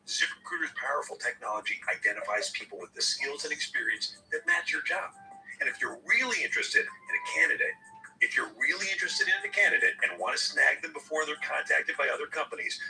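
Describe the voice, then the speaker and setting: Male podcast host for playful sponsor reads: higher-pitched, very bright, music underneath. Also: far from the mic, low-quality recording. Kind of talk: sponsor read, partly scripted, with banter.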